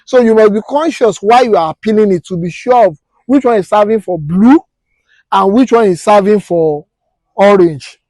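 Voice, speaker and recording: A man's voice: high-pitched, moderate pace, a little mellow, no room sound, poor audio. A Nigerian man teaching a hands-on practical class as an instructor, explaining step by step and quizzing listeners with rhetorical questions. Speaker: technical instructor.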